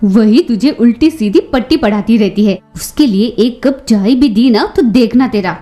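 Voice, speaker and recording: A woman's voice: fairly high pitch, normal speed, warm tone, faint music behind, close to an everyday voice. A woman voicing a quarrelsome, bullying older female bird mother-in-law in a cartoon story, scolding and sarcastic. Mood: angry, scornful. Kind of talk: Hindi children's cartoon story acting.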